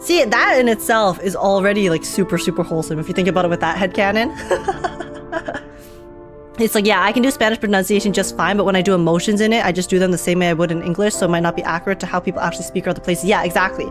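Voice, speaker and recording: A woman's voice: on the low side, quick pace, over music. A woman streaming a live game reaction, rambling casually with lots of fillers and frequent laughs.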